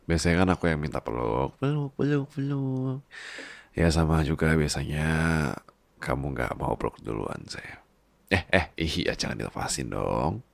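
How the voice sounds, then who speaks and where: A man performs a worried, babyish boss husband with an exceptionally deep voice. He coaxes and teases tenderly in an intimate ASMR roleplay. His speech has a creaky fry and is recorded very dry and close. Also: sing-song, moderate pace, no echo, full character voice. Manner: tender, coaxing, playful teasing. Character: doting, worried boss husband, big-baby clingy and teasing. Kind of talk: intimate ASMR boyfriend-style roleplay in casual talk.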